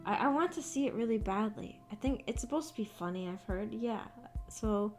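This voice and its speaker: A woman's voice: fairly high pitch, expressive, moderate pace. A woman livestream host chatting casually with her viewers in a rambling, informal way. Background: background music.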